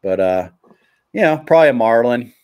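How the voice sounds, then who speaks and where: A middle-aged man hosting a casual live video chat over a headset, talking in a relaxed, rambling way. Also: mid-range voice, brisk, clean tone. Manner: relaxed, rambling, many fillers. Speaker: host. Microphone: headset on a video call.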